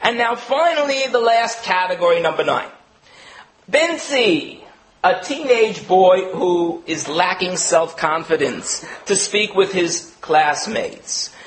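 A man giving a religious lecture, an animated storyteller who illustrates points with stories and quoted voices. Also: high voice, takes it slowly, a little hiss or hum, low-quality recording. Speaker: rabbi teaching a lecture.

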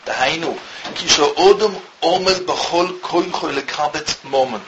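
Man from Switzerland lecturing in halting English. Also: fairly high pitch. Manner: halting non-native English, rapid Hebrew reading. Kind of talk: Torah lecture, reading Hebrew text then translating. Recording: muffled with loud background hiss.

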